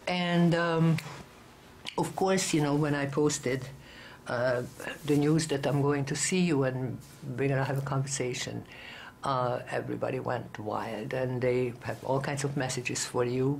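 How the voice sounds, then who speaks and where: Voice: mid-range voice, vocal fry, darkish tone. An interviewer puts long, earnest questions to a film actor, circling back and restarting mid-sentence. The voice sits in a middle register. Faint background noise runs under it. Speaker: entertainment interviewer. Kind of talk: press junket interview questions.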